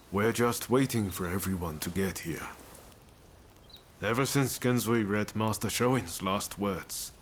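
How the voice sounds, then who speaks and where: An actor playing an earnest, amiable young samurai rebel in a video game, talking in a casual, easygoing way. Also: male voice, on the low side, slight background noise, lightly acted. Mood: casual, confiding. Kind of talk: video game cutscene dialogue.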